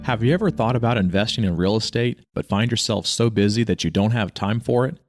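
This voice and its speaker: A male podcast host reads his intro and sponsor message in a smooth, polished way. The sound is dry and close, with soft music faintly underneath. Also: lowish voice, fairly quick, no room sound. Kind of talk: scripted intro and ad read, then interview questions.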